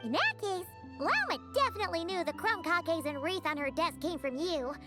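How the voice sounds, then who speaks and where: Childlike fairy sidekick, squeaky and sky-high, chirpy, nosy, exclamatory, video game acting, music behind. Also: female voice, very animated, normal speed. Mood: chatty. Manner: refers to self in third person, exclamatory.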